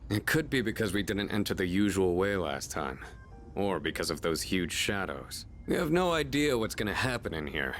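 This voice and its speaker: A man's voice: deep voice, big pitch swings, moderate pace, a bit bright, soft background music. A man voicing an eager, cocky teenage boy fighter in an anime video game, with a casual manner. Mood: casual, wary.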